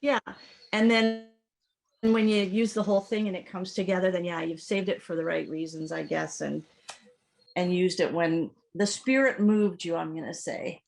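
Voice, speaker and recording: A woman's voice: on the low side, steady tone, moderate pace, creaky, a little mellow. A woman co-hosting a podcast, talking in a thoughtful, hesitant way. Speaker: podcast co-host. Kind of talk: casual chat.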